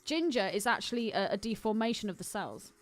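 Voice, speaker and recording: A woman's voice: medium pitch, animated, brisk. A British woman co-hosting a podcast in casual, sweary, jokey film chat. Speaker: podcast co-host.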